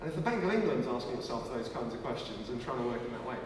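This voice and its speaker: A man's voice: mid-range voice, big pitch swings, racing, a little hiss or hum. A man giving a live presentation at a launch event, talking energetically with many restarts. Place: large museum hall. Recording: echoey hall from far off.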